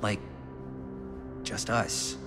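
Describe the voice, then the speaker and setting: Casting: awkward, earnest American guy, young adult, game cutscene, casual and hesitant, scored with clear music. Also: extremely slow. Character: earnest, awkward young amateur filmmaker with a girlfriend. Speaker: video game character. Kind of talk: naturalistic game cutscene acting.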